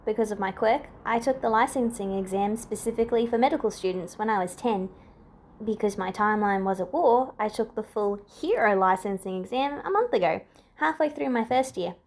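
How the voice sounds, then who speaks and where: A woman's voice: medium pitch, brisk, darkish tone, natural voice. Woman doing a young heroine, kindly, self-assured, cheerful, audiobook character. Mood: matter-of-fact. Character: bright, confident, kindly teenage girl hero.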